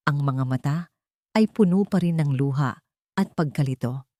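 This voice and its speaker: A woman with a Filipino accent narrating a dramatic scripted story in deliberate, short phrases with pauses. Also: low voice, warm tone, no room sound, clean studio sound.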